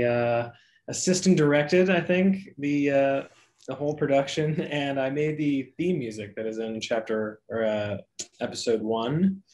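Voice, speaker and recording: A man in his thirties from Canada gives a casual introduction. His voice is mid-range and his delivery is halting and unsure. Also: medium pitch. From Toronto, Canada. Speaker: graduate student, writer. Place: bright indoor room with plants, video call.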